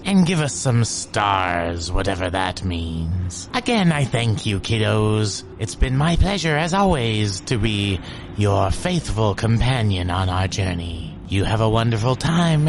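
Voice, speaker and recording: Slow, animated male podcast host. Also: mid-range voice, a little hiss or hum. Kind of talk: scripted sign-off to listeners.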